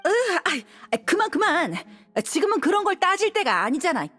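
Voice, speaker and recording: Casting: spirited young rescuer in a game, high voice, swooping expressive delivery, self-assured and playful. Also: female voice, brisk, soft background music, heavily acted. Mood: flustered. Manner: playful, animated. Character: lively, confident young female rescuer. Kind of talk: animated game voice acting.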